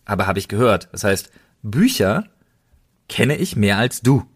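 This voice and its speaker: A man's voice: fairly low pitch, sing-song, measured pace, breathy edge. A man co-hosting a podcast, chatting casually and colloquially. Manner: colloquial, informal. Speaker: podcast co-host. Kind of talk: casual chat.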